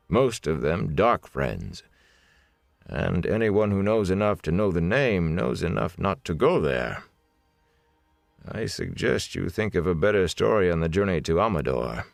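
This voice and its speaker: A man voicing a grandfatherly old lord captain in an audiobook, calm, formal and gently admonishing. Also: low-pitched, creaky, no echo, clean studio sound, exaggerated voice. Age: older. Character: grandfatherly gray-haired male lord captain, calm and sadly stern.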